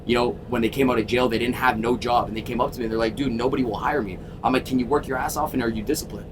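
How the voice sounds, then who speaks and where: A man in his thirties from Toronto, a sales coach telling his story in a casual interview, energetic and emphatic, swearing freely. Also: racing. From Toronto, Canada. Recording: constant vehicle rumble.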